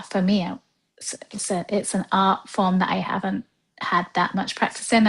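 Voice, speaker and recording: A woman's voice: fairly low pitch, low-quality recording. A poet, a woman, speaking thoughtfully on a video call with some ums. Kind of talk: casual discussion. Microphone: computer mic on a video call.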